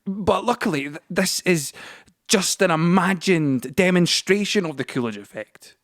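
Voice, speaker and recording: A man's voice: high-pitched, expressive, unhurried, dry recording, clean studio sound. A man performing a playful, nervy storyteller in an audio play, explaining science straight to the listener in a chatty, mock-lecturing way over music. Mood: playful, conspiratorial. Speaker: actor and narrator in an audio play. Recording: music bed under the voice.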